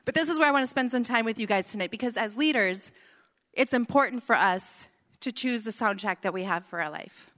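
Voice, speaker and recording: A woman's voice: fairly high pitch, fairly quick, fairly bright tone. Playful woman preaching in a hall. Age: adult with a son. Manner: playful storytelling, prayerful later. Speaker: women's ministry speaker. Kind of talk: sermon. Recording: echoey hall.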